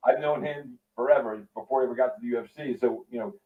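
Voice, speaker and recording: A man's voice: medium pitch, quick pace, phone-quality sound, crowd noise. A man of fifty-one with an American accent, hosting a casual, profane interview in streetwise slang. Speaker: interview show host. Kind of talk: casual, profane video-call chat.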